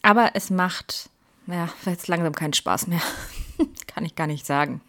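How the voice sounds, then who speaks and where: A woman's voice: lowish voice, measured pace, a bit bright. A woman in her thirties from Germany hosting her own podcast, chatting casually in a rambling, self-correcting way and now and then dropping into a whisper. She sounds stressed before an exam. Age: 30s. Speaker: podcast host. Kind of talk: casual chat.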